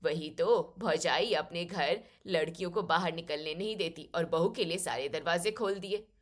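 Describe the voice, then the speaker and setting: A woman's voice: fairly low pitch, normal speed, voice pushed down, close to an everyday voice. A woman playing a disapproving older aunt who complains and sneers in a family drama audio story.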